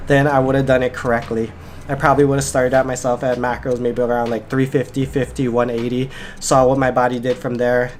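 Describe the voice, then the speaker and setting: A man's voice: mid-range voice, a little hiss or hum. Nasal young man talking fitness. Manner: rambling, filler-heavy with frequent "like". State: congested and nasal from a cold. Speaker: fitness vlogger and coach. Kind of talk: casual vlog chat.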